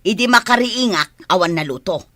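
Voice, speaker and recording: A bright, sharp, nagging wife played by a woman, in a medium pitch. She scolds and complains in an Ilocano comedy radio drama, close and dry on the mic. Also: animated, moderate pace, no room sound. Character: nagging, irritated village wife scolding her lazy husband.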